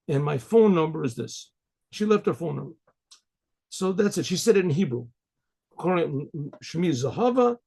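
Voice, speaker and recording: Man, American accent, gravelly husky voice, mellow tone, halting storytelling, clears his throat often. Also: fairly high pitch. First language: English. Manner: halting, many fillers and restarts, frequent throat clearing. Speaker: rabbi telling a personal story. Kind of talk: casual spoken storytelling.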